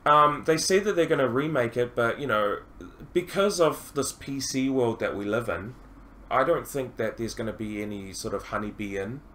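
A man's voice: mid-range voice, moderate pace, a little hiss or hum. A man hosting a podcast interview, talking casually with lots of ums and restarts. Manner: hesitant, many ums and restarts, animated when asking questions. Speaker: podcast host.

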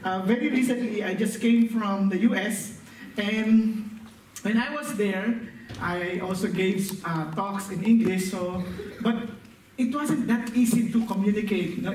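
A man from the northern Philippines with a Filipino accent, whose first language is Filipino, preaching a sermon with halting, self-correcting speech and frequent laughs. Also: high voice, darkish tone, recorded from a distance, slight background noise. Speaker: guest preacher. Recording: echoey hall, heard from afar.

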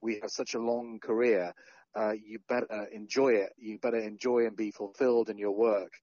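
A man's voice: on the low side, moderate pace, dry recording, highs cut off. Dry-voiced man in his forties, joking. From Sri Lanka and North Wales. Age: 40s. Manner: relaxed, self-deprecating, joking asides. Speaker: podcast guest, journalist and founder. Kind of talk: casual interview conversation.

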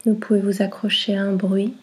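A woman guiding a relaxation meditation in a soft, breathy, soothing way, in short phrases with long pauses. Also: mid-range voice, little pitch movement, rough recording. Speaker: yoga nidra guide.

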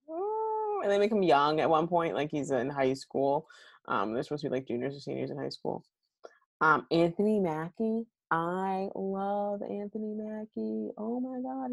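A woman with a low, gravelly voice hosts a solo podcast, talking in a loose, chatty way and swearing freely. Her voice is recorded close and dry, with no room sound around it. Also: no room sound. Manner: chatty and candid, swears freely, many fillers. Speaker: podcast host. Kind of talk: casual solo podcast chat about a movie. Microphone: home recording at a computer.

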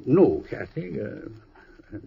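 An actor playing a kindly, wistful old country storekeeper with a husky, folksy, halting delivery in an old radio drama. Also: male voice, medium pitch, very animated, phone-quality sound, exaggerated voice. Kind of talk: 1950s radio drama acting. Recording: old radio broadcast recording.

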